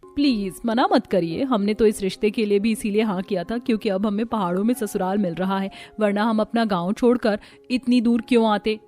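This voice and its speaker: A woman's voice: mid-range voice, brisk, dark tone, faint music behind, natural voice. A woman voicing an eager, dreamy young village daughter-in-law in a cartoon story, earnest and emphatic. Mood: pleading.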